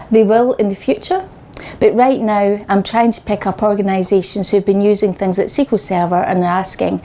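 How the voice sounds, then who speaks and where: A woman's voice: mid-range voice, mellow. A woman in her forties with a Scottish accent, giving a conversational, wry technical talk to a meetup audience on a microphone. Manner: conversational, wry and self-deprecating. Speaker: conference speaker. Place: meetup venue with a live audience. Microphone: handheld microphone.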